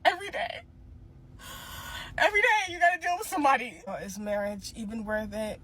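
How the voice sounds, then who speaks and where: A woman's voice: fairly high pitch, fairly quick, a bit bright, a little hiss or hum. A woman speaking in a weary, resigned way.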